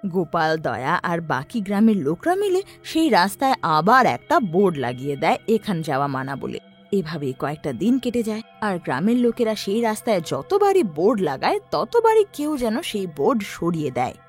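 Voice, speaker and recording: A woman tells a horror tale as narrator, her lowish voice rising and falling dramatically. The tone is a little husky, with music behind. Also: sing-song, moderate pace. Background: background music.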